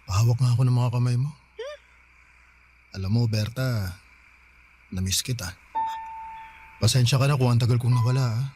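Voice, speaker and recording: A man's voice: medium pitch, moderate pace, long pauses, dark tone, a little hiss or hum, lightly acted. A male actor in a Filipino radio drama playing a tender bachelor neighbour, earnest and wooing. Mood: eager, tender. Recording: prominent music under the dialogue.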